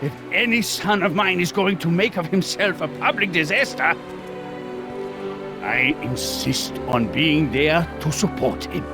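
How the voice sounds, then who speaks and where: A man's voice: a little high, a little slow, over music, natural voice. An actor voicing a stern, proud, older immigrant father in a video game, with an emphatic, blustering manner. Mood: firm.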